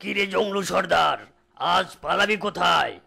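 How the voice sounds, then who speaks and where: A man voicing a vengeful, menacing dinosaur with a gravelly voice in a cartoon. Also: high voice, moderate pace, bright, forceful tone, heavily acted. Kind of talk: cartoon character acting.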